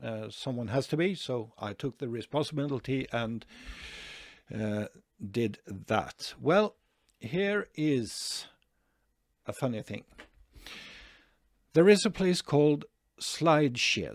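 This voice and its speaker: A man's voice: mid-range voice, dry recording, studio quality. A Norwegian man talking through a screen-share tutorial, hesitant, with many fillers and restarts. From Norway. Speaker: online marketing tutor.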